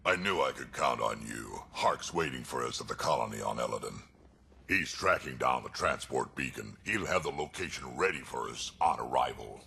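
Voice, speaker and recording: Gruff alien warrior, rumbling fry, blunt threats. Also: male voice, animated, moderate pace, sharp tone, a little hiss or hum, heavily acted. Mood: determined. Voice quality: deep gravelly voice. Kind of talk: video game dialogue.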